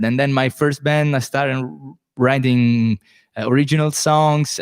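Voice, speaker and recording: Slow-talking Italian man hosting live. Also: mid-range voice, clear, resonant tone, no room sound, studio quality. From Italy, Rome. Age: late 20s. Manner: relaxed, chatty, searches for English words with many fillers. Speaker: podcast host and musician. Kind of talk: live solo Q&A stream.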